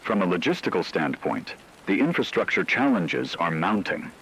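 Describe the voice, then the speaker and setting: Deep-voiced male news anchor played by a man. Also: very animated, a bit bright, breathy edge, exaggerated voice, rough recording. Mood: grave, clinical. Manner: formal, measured, clinical. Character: grave male radio news anchor in zombie apocalypse. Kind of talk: scripted breaking news radio report.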